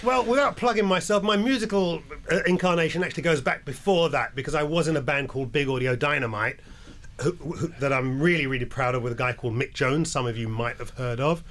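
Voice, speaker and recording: A man's voice: fairly high pitch, fast talker, fairly bright tone, slight background noise. A jet-lagged older man from England, telling stories as a radio interview guest with a wry, laid-back manner.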